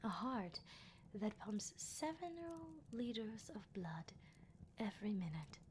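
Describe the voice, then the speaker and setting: A woman acts out a vampire captor in an ASMR roleplay, speaking in an intimate, whispery voice at a middle pitch. The vampire is menacing yet playful, taunting a captive at a leisurely, teasing pace. Clear background noise and ambience sit behind the voice. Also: very animated, slow pace, darkish tone. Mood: hushed, menacing.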